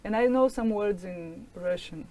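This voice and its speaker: A woman's voice: mid-range voice, measured pace, rough recording. Young woman student teacher telling stories. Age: young adult. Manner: animated, acts out quoted dialogue. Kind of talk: storytelling in conversation.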